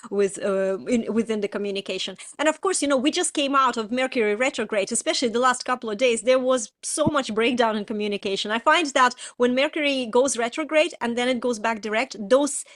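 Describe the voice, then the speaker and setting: A woman's voice: on the high side, brisk, few pauses, fairly bright tone. A woman with a Russian accent, Russian her first language, co-hosting a casual talk and breaking off often to laugh and restart her sentences. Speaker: podcast co-host, coach. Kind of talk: casual chat.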